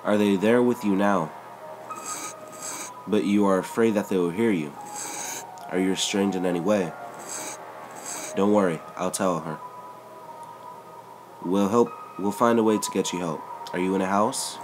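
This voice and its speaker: A man with a deep voice voicing a female police emergency dispatcher who asks calm, coaxing questions, with music playing underneath. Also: measured pace, long pauses, noisy background. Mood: calm urgent. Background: background music.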